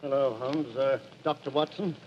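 An actor playing a stern older army general who stammers, in a 1940s radio drama. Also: male voice, medium pitch, fairly even intonation, lightly acted. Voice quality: stammering, halting. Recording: dull lo-fi radio recording with faint hiss.

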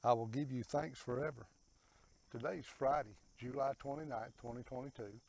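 An older man reading aloud for a devotional in a measured, declarative way. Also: medium pitch, creaky, breathy edge. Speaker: devotional host and scripture reader. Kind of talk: scripture reading. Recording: very noisy recording with loud ambience.

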